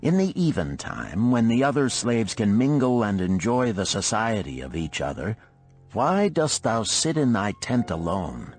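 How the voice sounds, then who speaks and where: A man's voice: lowish voice, sing-song, unhurried, faint music behind, pitched above the performer's own voice. A man voicing a stern, cold older woman, a chieftain's wife in a storybook, with blunt, formal, old-fashioned speech. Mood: confiding. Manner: formal archaic phrasing, imperious.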